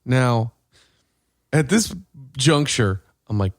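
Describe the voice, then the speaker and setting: A man co-hosting a podcast in casual chat, telling stories in an animated way and acting out dialogue. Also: mid-range voice, slow pace, dry recording, studio quality. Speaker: podcast co-host.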